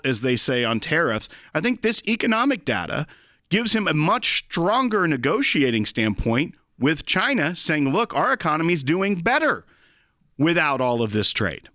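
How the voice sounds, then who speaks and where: A man's voice: a little high, sharp tone, dry recording, studio quality. A man hosting a talk show, giving emphatic, conversational political commentary. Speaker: host.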